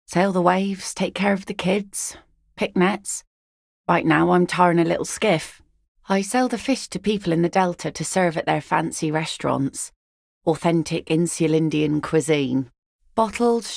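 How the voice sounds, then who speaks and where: Tough old-school fisherwoman, gravelly and lowish, blunt dry humor, dead dry studio sound. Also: darkish tone, no echo, full character voice. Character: tough weathered fisherwoman net picker, blunt. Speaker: game character. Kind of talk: video game voice lines.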